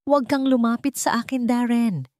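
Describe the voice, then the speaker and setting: Woman playing a defiant, frightened heroine, raised pitch. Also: fairly even intonation, normal speed, darkish tone, no echo, studio quality, close to an everyday voice. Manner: urgent, emotional. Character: frightened but defiant young pregnant heroine. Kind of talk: dramatized audio story.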